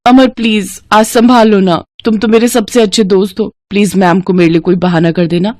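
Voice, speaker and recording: A woman's voice: medium pitch, steady tone, brisk, a little mellow, no room sound, close to an everyday voice. Woman voicing a headstrong lovestruck schoolgirl, dry sound. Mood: pleading. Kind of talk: dramatized Hindi moral story acting.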